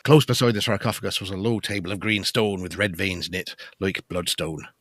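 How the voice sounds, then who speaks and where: A man's voice: low voice, fairly even intonation, fairly quick, fairly bright tone, no echo, studio quality. A man narrating a gothic audio drama in a scripted storytelling style.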